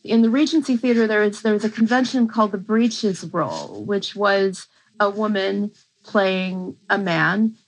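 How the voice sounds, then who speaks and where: A woman's voice: mid-range voice, lively intonation, measured pace, rough recording. A woman professor giving a conversational academic explanation as an interview guest, with informal asides.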